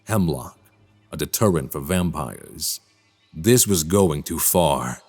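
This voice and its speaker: An actor playing a polite, troubled man suspected of being a vampire in a radio drama, speaking in measured, formal storyteller phrasing. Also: very deep voice, expressive, a little mellow, faint music behind, full character voice. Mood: brooding, uneasy. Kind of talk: immersive radio drama acting and narration.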